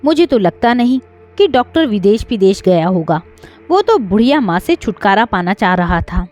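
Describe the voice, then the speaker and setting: A woman's voice: medium pitch, very animated, normal speed, darkish tone, soft background music, close to an everyday voice. A woman voicing gossipy, suspicious elderly residents of an old-age home in a story. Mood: suspicious, scornful. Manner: questioning, gossipy.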